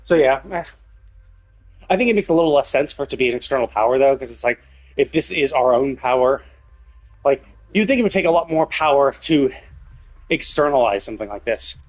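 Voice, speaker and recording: A man's voice: mid-range voice, animated, fairly quick, long pauses, rough recording. A man doing casual live-stream commentary on a game, rambling analytically as he thinks aloud.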